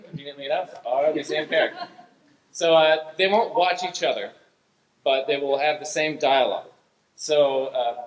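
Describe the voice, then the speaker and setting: A man hosting a live improv show, explaining games in a hesitant, halting way. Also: a little high, slight background noise. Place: theatre stage in front of audience. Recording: echoey live stage room.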